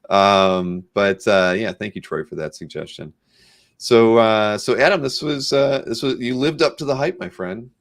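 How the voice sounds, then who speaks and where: A man's voice: fairly low pitch, moderate pace, gravelly. A man hosting a casual live interview show, chatting informally and with enthusiasm. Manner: informal, enthusiastic, reads out viewer comments. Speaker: host of a live comic art show.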